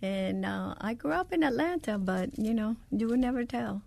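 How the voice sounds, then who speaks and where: Older Cuban-accented woman, gravelly and mellow. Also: a little high, moderate pace, no echo. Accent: Cuban Spanish. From Cuba. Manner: hesitant, many fillers. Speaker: guest, bridal shop owner. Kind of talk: radio interview.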